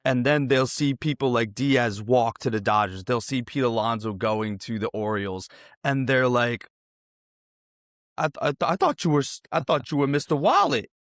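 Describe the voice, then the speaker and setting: A man's voice: medium pitch, animated, moderate pace, fairly bright tone, no room sound, clean studio sound. A man hosting a sports show, chatting casually as he interviews a guest.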